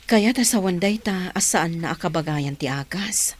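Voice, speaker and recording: A woman's voice: on the low side, moderate pace, slightly rough. A woman playing a businesslike hospital doctor in a radio drama, professional in manner.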